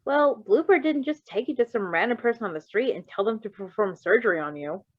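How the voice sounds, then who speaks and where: A kindly, assured superhero doctor from an audio story, voiced by a woman, speaking with light wryness and a slightly lifted pitch. The sound is dry and a little muffled. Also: expressive, a little fast, no room sound. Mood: wryly amused. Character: calm, reassuring young male superhero doctor.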